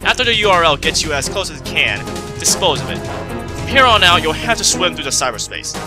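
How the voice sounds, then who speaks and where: A man's voice: fairly high pitch, lively intonation, fairly quick, over music, natural voice. A man playing a terse, commanding military colonel giving a mission briefing in a video game parody. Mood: stern, commanding.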